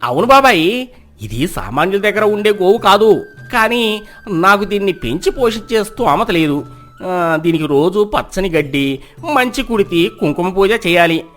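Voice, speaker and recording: A man's voice: sits high, lively intonation, moderate pace, a bit bright, soft background music. A man voicing a cunning, lazy village trickster in a folk tale, boastful and persuasive in his talk. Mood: persuasive, pious.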